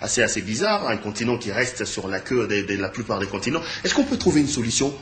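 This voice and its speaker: A man from the Congo with a Congolese French accent, hosting a television interview in a halting way, repeating words as he searches. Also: fairly low pitch, big pitch swings, a bit bright, a little hiss or hum. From Democratic Republic of the Congo.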